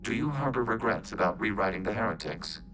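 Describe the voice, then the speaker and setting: An actor playing a calm, logical machine intelligence in a video game, speaking in formal, detached phrases as a collective. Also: male voice, on the low side, fairly quick, noisy background.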